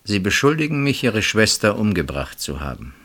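An actor in a German radio play, playing a controlled, deliberate and dismissive husband who gives little away. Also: male voice, lowish voice, very animated, a bit bright. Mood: reproachful, controlled. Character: cool, evasive husband of a missing woman.

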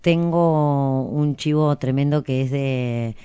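A woman's voice: very deep voice, takes it slowly, warm tone, smooth, no echo. A woman with a Rioplatense Argentine accent co-hosting a show, chatting casually in slang and telling stories. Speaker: radio show co-host. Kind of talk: casual informal chat.

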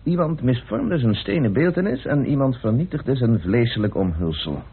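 A man's voice: mid-range voice, sing-song, normal speed, husky, full character voice. An actor playing a businesslike, formal chairman of an investigating club in a radio play. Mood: probing. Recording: tinny, muffled old radio drama recording.

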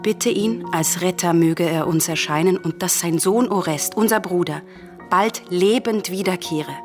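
Grave, low-voiced vengeful princess played by an actress. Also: female voice, music in the background, lightly acted. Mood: solemn, pleading. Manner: grave, deliberate, formal declamation. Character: bitter, unyielding young princess seeking vengeance. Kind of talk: classical tragedy radio drama.

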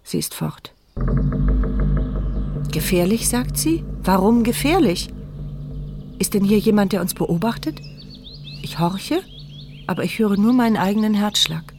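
A woman's voice: medium pitch, animated, a little slow, pauses often, noisy background. An actress playing a woman in a wheelchair who narrates her own fear in a tense, sardonic inner monologue, in a radio drama. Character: paralysed woman in a wheelchair, frightened and wry.

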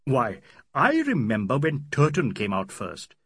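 Dude doing a patient older host, louder and a bit husky, kinda wry. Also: male voice, mid-range voice, huge pitch swings, a little slow, no echo, studio quality, exaggerated voice. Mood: earnest.

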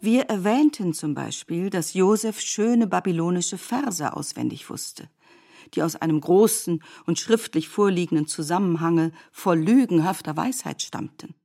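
Lower-voiced woman narrating literary prose. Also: lively intonation, measured pace, vocal fry, mellow.

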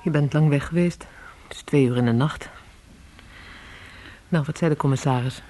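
An actress playing an anxious, caring wife in a radio drama. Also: female voice, low-pitched, normal speed, noisy background, close to an everyday voice. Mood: anxious, questioning.

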